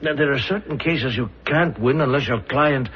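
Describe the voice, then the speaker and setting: An actor playing a pragmatic, skeptical defense lawyer in a radio drama, measured and persuasive with deliberate pauses. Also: male voice, medium pitch, close to an everyday voice. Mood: weary, measured. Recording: muffled, noisy old radio recording.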